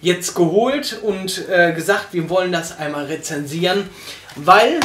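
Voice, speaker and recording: A man's voice: sits high, measured pace, reverberant, noisy background. A young man hosting a casual review chat on a sofa, enthusiastic and rambling with lots of fillers. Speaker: podcast host and reviewer. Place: small living room, on a sofa.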